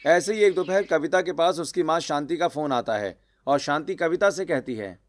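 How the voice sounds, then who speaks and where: A man reading scripted narration for an animated family drama story. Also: fairly high pitch, normal speed. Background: music.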